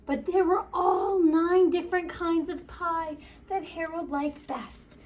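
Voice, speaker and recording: High-pitched woman reading a children's story. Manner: expressive, playful, drawn-out storytelling. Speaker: story time reader. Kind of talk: children's picture book read-aloud with chatty asides.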